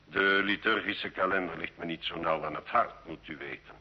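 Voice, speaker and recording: An actor playing a sly, learned medieval alchemist in an old radio drama, measured and courtly, with a sardonic edge. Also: male voice, sits low, fairly even intonation, breathy edge, dull audio. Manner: slow, measured, deferential yet sardonic.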